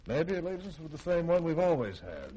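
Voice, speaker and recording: An actor playing a brisk, authoritative spaceship commander in a 1950s radio drama, direct and commanding. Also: male voice, fairly high pitch, sing-song, warm tone, slightly rough, dull audio. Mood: wary. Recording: noisy old radio recording.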